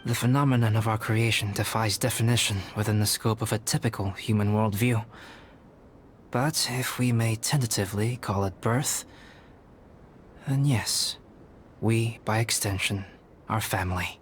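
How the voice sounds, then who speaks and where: A man's voice: fairly low pitch, steady tone, slight background noise, lightly acted. A man playing a composed, aloof young alchemist in a video game, speaking formally and thoughtfully. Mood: calm, reflective. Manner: measured, formal, scholarly. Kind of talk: dramatic video game voice acting.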